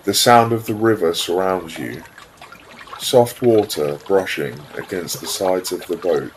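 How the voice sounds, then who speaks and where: Slow, very low-voiced man guiding meditation. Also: slight background noise, low-quality recording. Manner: calm, soothing, unhurried delivery with pauses. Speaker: guided visualisation narrator. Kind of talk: guided meditation, scripted narration.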